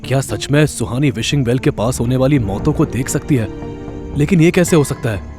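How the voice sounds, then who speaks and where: An actor voices a powerful, intense man in a horror audio series. His mid-pitched voice is earnest, firm and protective. Music plays clearly behind him. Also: lively intonation, fairly quick, close to an everyday voice. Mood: baffled, intense. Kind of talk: Hindi audio horror drama acting.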